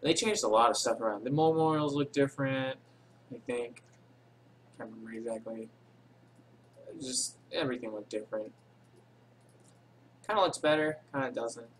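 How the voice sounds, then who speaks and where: A man's voice: medium pitch, lively intonation, moderate pace, pauses often, a little hiss or hum. A man casually narrating a screen-recorded game tutorial, rambling with many ums and self-corrections, in a voice he calls boring. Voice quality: says he has a boring voice. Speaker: game tutorial channel host.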